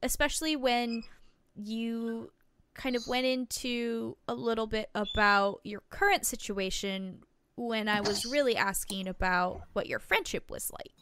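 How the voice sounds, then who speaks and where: A woman playing an encouraging, gently instructive local reporter in an audio drama, speaking in a measured, conversational way. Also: fairly high pitch, smooth, dry recording. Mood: coaching.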